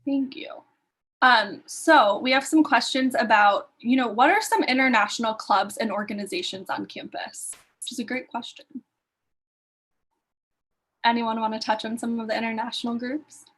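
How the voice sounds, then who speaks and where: Higher-voiced woman moderating a panel. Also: normal speed, fairly bright tone. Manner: polite, facilitating.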